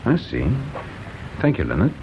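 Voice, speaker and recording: A shrewd middle-aged English inspector, low-pitched with widely swinging intonation. He is dry, patient and probing, musing his way through a radio play. The sound is slightly muffled and noisy. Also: wildly swooping pitch, heavily acted. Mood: inquisitive. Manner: measured, probing, dry, thoughtful. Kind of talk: radio drama acting.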